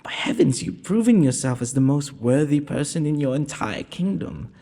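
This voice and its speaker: A man playing a gentle, courteous young prince in a romantic audio roleplay, speaking formally and a little hesitantly. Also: a little high, expressive, normal speed. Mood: reassuring. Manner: formal, polite, breathy speech with hesitations. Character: gentle, courteous young underground prince, nervous, earnest suitor. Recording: long hall-like reverb.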